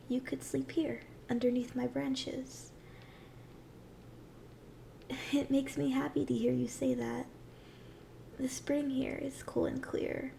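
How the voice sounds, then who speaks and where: A gentle, ancient tree dryad, played by a woman, speaks in a high, very creaky voice at a slow, soothing pace. The delivery is soft and breathy, often near a whisper, in the style of a tender fantasy ASMR roleplay. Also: a little hiss or hum, heavily acted. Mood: warm, caring.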